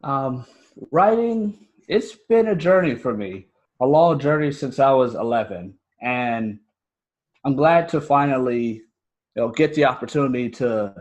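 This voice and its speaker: A man's voice: medium pitch, highs cut off. An American man speaking casually and earnestly as an interview guest. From United States. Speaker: podcast guest, fiction writer and podcaster.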